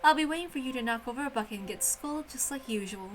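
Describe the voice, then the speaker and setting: A woman's voice: high-pitched, animated, fast talker, noisy background. A woman voicing a haughty, teasing, prank-loving witch in a theatrical visual novel read-aloud, mocking and grandly condescending. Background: music.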